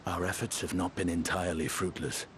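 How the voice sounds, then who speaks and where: An actor playing a measured, resolute male ally in a fantasy video game, formal and composed. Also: low-pitched, level tone, a little hiss or hum, close to an everyday voice.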